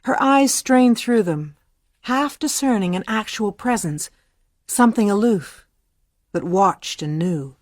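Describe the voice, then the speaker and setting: A woman's voice: mid-range voice, lively intonation, slow, a little mellow, dry recording, clean studio sound. A woman narrating a literary story for a radio play from a script.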